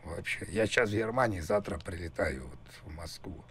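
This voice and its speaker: A man in his fifties making a prank phone call, talking in a rambling, halting, insistent way full of innuendo. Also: low voice, lively intonation, fairly bright tone, breathy edge. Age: 50s. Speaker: prank caller. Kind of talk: casual phone prank conversation.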